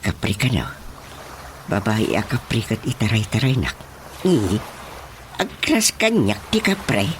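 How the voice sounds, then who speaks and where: A man's voice: lowish voice, wildly swooping pitch, slow, pauses often, a little hiss or hum, heavily acted. A man acting a stubborn villager in an Ilocano radio comedy, with an Ilocano accent and emphatic interjections. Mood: aggrieved. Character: stubborn male villager defending his mango tree.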